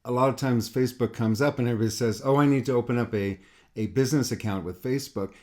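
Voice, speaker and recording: A man's voice: mid-range voice, fast, a little mellow. A man hosting a podcast interview as an art coach, talkative and often repeating words as he thinks.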